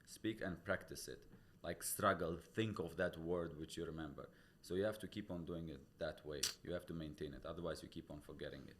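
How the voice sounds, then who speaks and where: A man's voice: deep voice, fairly quick, few pauses. A man from Tajikistan, a real estate broker, explaining himself earnestly as a podcast guest, with a non-native English accent. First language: Pamir dialect. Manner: earnest, explains step by step with many restarts. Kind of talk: casual interview talk. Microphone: studio podcast mic.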